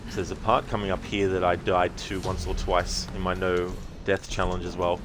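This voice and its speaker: Low-voiced man streaming over loud game noise. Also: little pitch movement, normal speed. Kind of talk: casual gameplay commentary.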